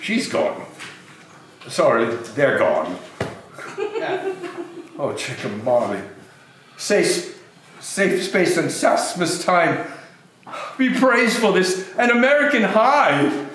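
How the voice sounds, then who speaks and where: A man playing a remorseful old miser in a theatrical reading, halting, pleading and exclamatory. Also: sits high, big pitch swings, a bit bright, a little hiss or hum, heavily acted. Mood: giddy relief. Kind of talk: theatrical dramatic stage reading. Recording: roomy, echoing, music underneath.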